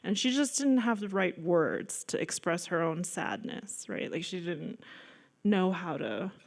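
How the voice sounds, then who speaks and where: A woman's voice: fairly low pitch, lively intonation, a little slow, creaky. A woman in her thirties, from the United States, giving a casual slide-show talk about her paintings, chatty and self-deprecating with many likes and ums. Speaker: guest artist lecturer. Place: lecture room.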